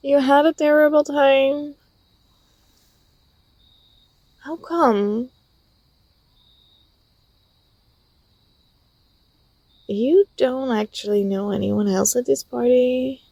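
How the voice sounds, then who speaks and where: A woman acting as an affectionate young student in an intimate ASMR roleplay. Her voice is fairly high and unhurried, with a creaky edge. She speaks gently and playfully, coaxing and teasing a close friend. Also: no room sound, exaggerated voice. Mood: surprised, guilty.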